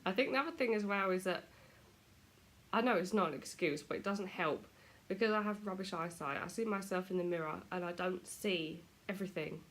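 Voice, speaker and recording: A woman's voice: fairly low pitch, fairly quick, fairly bright tone. A British woman chatting casually to camera at home, self-deprecating, her nose stuffy from a cold. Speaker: vlogger.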